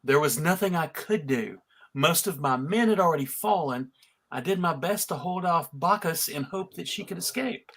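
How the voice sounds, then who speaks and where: A man's voice: fairly high pitch, animated, normal speed, a bit bright. A man playing a terse, tough female warrior leader, curt and commanding, in a live script read. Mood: defensive.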